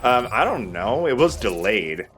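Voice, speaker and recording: Young guy chatting over a game, lowish voice, jokey, some background noise. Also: big pitch swings, unhurried, fairly bright tone. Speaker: let's play host and player. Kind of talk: casual gameplay commentary with friends.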